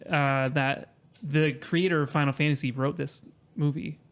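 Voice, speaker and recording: Man, somewhat high-pitched, casual conversation, podcast panelist. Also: fairly even intonation, moderate pace. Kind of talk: casual chat.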